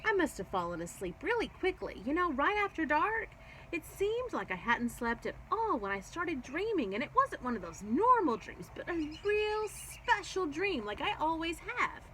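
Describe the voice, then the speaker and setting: Lady doing a chatty little girl furry critter from a storybook, super bouncy pitch, eager, babbles on and on, bit of noise behind. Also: sing-song, moderate pace, a bit bright, full character voice, rough recording. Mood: excited chattering. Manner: babbling, talkative, breathlessly eager. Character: chattering young female furry creature, excitable and self-absorbed. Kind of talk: children's storybook read-aloud.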